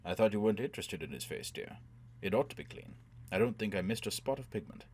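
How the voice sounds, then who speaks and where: A man voicing a smug, coolly greedy surgeon with a dry, measured manner in a science-fiction story. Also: on the low side, animated, a little mellow, breathy edge.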